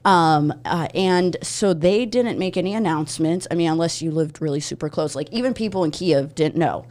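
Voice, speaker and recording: A woman's voice: low voice, normal speed, a little mellow. A woman in her thirties from Ukraine, a comedian guest telling stories in a podcast studio, chatty with lots of fillers and laughs. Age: 30s. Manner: chatty, laughs often, many fillers and restarts. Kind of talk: casual storytelling chat.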